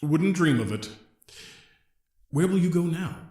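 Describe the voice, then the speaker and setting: A man acts out a courteous gentleman genius from an audio drama, earnest and formal in his wordy politeness. His voice is fairly high for a man and swings widely in pitch, with a roomy echo around it. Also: animated, measured pace. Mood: earnest, measured. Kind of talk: scripted audio drama acting.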